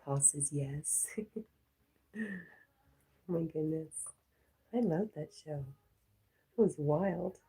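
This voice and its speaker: Brief: congested woman livestream host, low mellow voice, loose and chatty. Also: very slow, pauses often, husky, rough recording. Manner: chatty, talks to her dog and reads chat aloud. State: congested, stuffy nose. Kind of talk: casual livestream chat.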